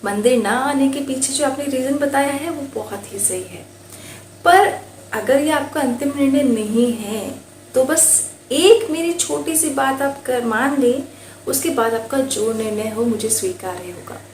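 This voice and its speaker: Storybook temple priest played by an Indian woman: calm, courteous, measured guidance, high register, faint background noise. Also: reverberant, poor audio. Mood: gentle agreement. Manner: polite, measured, instructive. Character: calm, patient, wise male temple priest. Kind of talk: storybook dialogue.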